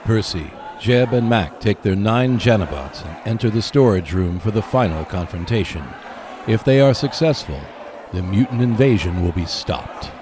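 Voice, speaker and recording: A man's voice: lowish voice, expressive, moderate pace, a crowd in the background, noisy background. A man narrating a scripted radio play as host, in a dramatic storytelling manner.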